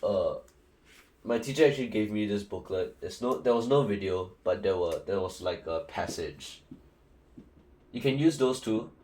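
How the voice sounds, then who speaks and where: Young singaporean guy rambling thru study tips, low voice, lots of likes and ums. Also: expressive, normal speed, a little mellow. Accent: Singaporean English. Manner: chatty, rambling, many fillers and restarts. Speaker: student tutor. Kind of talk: casual advice video.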